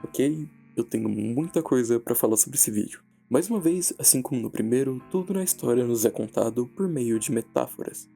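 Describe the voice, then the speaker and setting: A Brazilian man narrating a scripted horror analysis in a measured, dramatic way with deliberate pauses. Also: medium pitch, lively intonation, gravelly, mellow. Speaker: narrator and video essayist. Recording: background music under the voice. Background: music.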